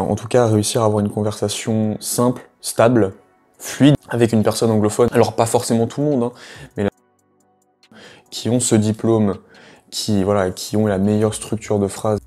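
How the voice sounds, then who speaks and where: A man's voice: fairly low pitch, warm tone. A man giving a casual video lesson as an English teacher, talking straight to the viewer with many hesitations. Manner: chatty, addresses viewer directly, many hesitations.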